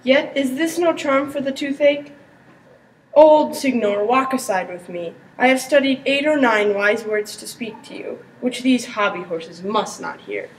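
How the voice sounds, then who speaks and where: Sulky lovesick Shakespearean gentleman, played by a student girl, amateur stage dialogue, off-mic with faint noise. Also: a little high, unhurried, lightly acted. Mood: grumbling. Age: teenager. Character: grumpy lovesick gentleman nursing a toothache. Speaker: student performer. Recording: distant mic with background noise.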